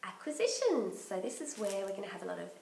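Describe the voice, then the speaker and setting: Croaky woman chatting. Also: mid-range voice, sing-song, quick pace, a little mellow, roomy sound, a little hiss or hum. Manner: chatty and enthusiastic, laughs often. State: croaky from bronchitis. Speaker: knitting podcast host. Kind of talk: casual chat.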